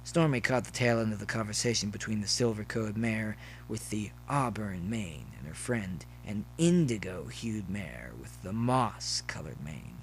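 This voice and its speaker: A man's voice: fairly low pitch, expressive, moderate pace, hardly any pauses. A man reading scripted story narration as the narrator. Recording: faint noise behind the voice.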